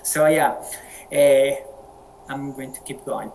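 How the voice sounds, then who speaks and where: A man's voice: mid-range voice, rough recording. Colombian guy hosting an english practice call, friendly, keeps repeating words, spanish accent. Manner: friendly, halting with frequent word repeats. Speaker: meeting moderator. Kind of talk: casual online group chat. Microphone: video call.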